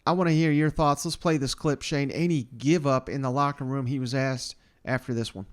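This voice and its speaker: A man's voice: mid-range voice, fairly even intonation, brisk. A man hosting a sports podcast, giving casual commentary in a colloquial, conversational way. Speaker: podcast host.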